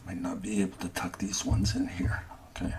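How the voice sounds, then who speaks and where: A man from Canada speaking softly and gently in an ASMR cooking style, often dropping to a breathy whisper as he talks through each step. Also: sits low, little pitch movement, fairly quick, a little hiss or hum. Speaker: amateur ASMR cooking host. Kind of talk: soft-spoken ASMR cooking narration.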